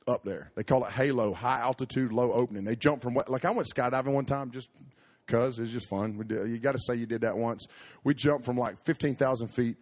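Animated man telling a story. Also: medium pitch. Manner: animated, jokey, self-interrupting storyteller. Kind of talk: storytelling sermon with humorous asides.

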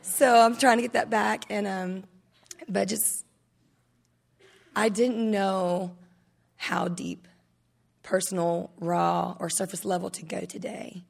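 A woman in her thirties with a raspy voice, giving a candid and emotional personal testimony on a conference stage. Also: mid-range voice, level tone, slow, long pauses.

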